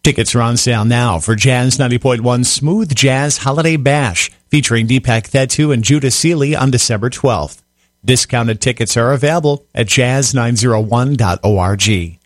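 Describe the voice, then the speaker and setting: Guy reading station announcements, crisp, dry studio sound, mid pitch. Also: normal speed, dry recording. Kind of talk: scripted announcement.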